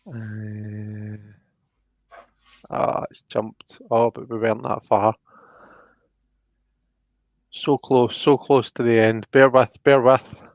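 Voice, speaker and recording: A man's voice: on the low side, flat delivery, takes it slowly, pauses often, dry recording. Man, live-stream host, informal and chatty, dry close sound, slightly muffled audio. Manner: chatty, informal, friendly greetings. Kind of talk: casual live-stream chat.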